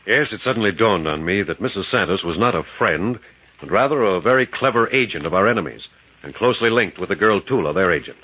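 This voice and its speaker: An actor playing a bold, intense adventurer captain, narrating and acting dramatically in 1940s radio style. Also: male voice, deep voice, animated, fast, sharp tone, husky, heavily acted. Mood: grave. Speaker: hero and narrator. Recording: dull, noisy vintage radio recording.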